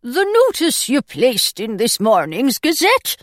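A woman voicing a hapless male pirate with a thin, anxious voice, slow and apologetic. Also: fairly high pitch, wildly swooping pitch, no echo, clean studio sound.

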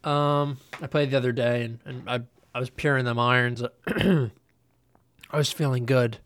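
Young male host, nasal head cold, rambling, middle-pitched, dry close recording. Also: normal speed, smooth, dry recording. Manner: rambling, relaxed, with many ums and uhs. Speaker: podcast host. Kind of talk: casual solo podcast chat.

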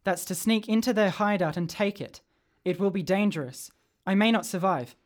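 A man's voice: sits high, normal speed, lightly acted. A man playing an earnest, adventurous schoolboy inventor in a radio drama, speaking in declarations. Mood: determined.

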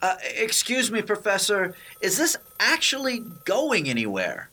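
A man's voice: sits high, wildly swooping pitch, a little slow, pushed and bright, full character voice. A man playing an earnest, easily exasperated radio broadcaster in a comic 1930s-style radio play, with theatrical delivery. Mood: incredulous. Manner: theatrical, swings between incredulity and solemn oration. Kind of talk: 1930s radio play acting, comedy spoof.

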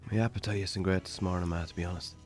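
A man's voice: sits low, fairly even intonation, fast, a little mellow, soft background music, close to an everyday voice. A man playing a put-upon, hungover Irish farmer in a radio drama, weary, dry and sardonic. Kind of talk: naturalistic radio drama acting.

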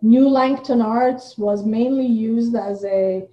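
A woman's voice: on the high side, slow pace. A woman from Iran chatting reflectively as an interview guest, recorded with a dull, roomy sound. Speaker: guest, playwright and artistic director. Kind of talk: casual reflective chat.

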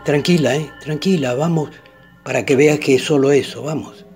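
An actor playing a husband who soothes but is uneasy, in a haunted-house radio play. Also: male voice, fairly high pitch, moderate pace, darkish tone, soft background music, lightly acted. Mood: reassuring. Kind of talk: Spanish-language radio play acting.

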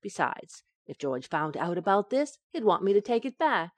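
A woman's voice: medium pitch, big pitch swings, normal speed, faint music behind. A woman playing a breezy, wisecracking young housewife in a radio sitcom, with a flippant comic delivery. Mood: cheerful, matter-of-fact.

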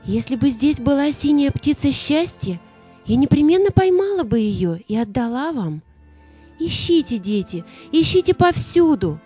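A loving young mother spirit, played by a woman, with a fairly high, soft voice. She speaks tenderly and caressingly in a fairy-tale audio play, over faint music. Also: very animated. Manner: tender, unhurried, caressing address.

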